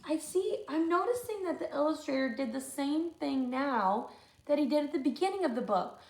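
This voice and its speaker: Animated, high-voiced woman reading a storybook. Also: normal speed, reverberant, low-quality recording. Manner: expressive, chatty commentary between lines. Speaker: read-aloud teacher. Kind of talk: storybook read-aloud with asides to children.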